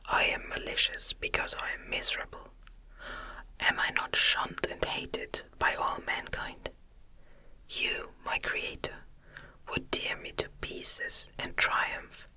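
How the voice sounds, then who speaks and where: Female reader as miserable, vengeful male monster of gothic audiobook: slow, formal, bright whisper. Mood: bitter reasoning. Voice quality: breathy unvoiced whisper.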